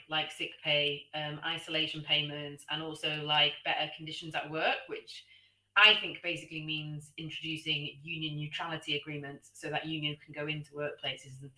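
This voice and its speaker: A woman joins a political talk as a guest, her voice fairly low but very bright and sharp. She talks loudly and chattily, full of "like" and "um". Her call audio sounds roomy and echoey. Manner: loud, chatty, filler-heavy. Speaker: guest commentator. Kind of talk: political discussion.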